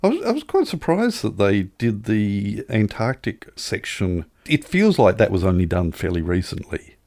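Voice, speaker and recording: A man's voice: lowish voice, sing-song, moderate pace, gravelly, darkish tone, no room sound, clean studio sound. A man chatting casually as a podcast co-host, telling anecdotes in wry, wordy turns of phrase.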